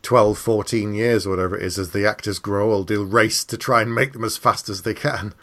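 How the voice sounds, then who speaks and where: A man's voice: on the low side, steady tone, fairly quick, a bit bright. A man hosting a podcast in a casual, conversational interview style. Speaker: podcast host.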